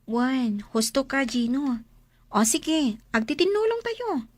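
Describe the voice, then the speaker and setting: A woman's voice: sits high, sing-song, slow, no room sound, heavily acted. An actress with an Ilocano accent playing an eager, supportive young woman in a radio drama. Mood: agreeable. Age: young adult.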